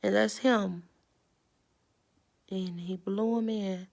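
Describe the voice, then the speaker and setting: Creaky-voiced woman reading a storybook aloud. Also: mid-range voice, fairly even intonation, slow, a little mellow. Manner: instructive, explains pictures and words simply. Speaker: storybook reader. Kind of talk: children's read-aloud with explanatory asides.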